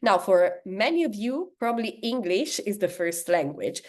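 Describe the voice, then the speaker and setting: A woman's voice: mid-range voice, lively intonation, normal speed. A woman with an Italian accent and Italian as her first language, giving a business sales training lesson in a chatty style. She uses lots of "right?" and "uh" fillers and acts out sample customer dialogues.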